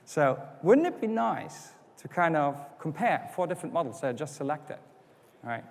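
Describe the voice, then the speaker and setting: A man's voice: fairly high pitch, very animated, moderate pace, creaky. A man whose first language is not English, giving a conference keynote, explaining step by step and asking rhetorical questions. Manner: explanatory, rhetorical questions, acts out customer quotes. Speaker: conference speaker. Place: conference hall. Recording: hall reverb.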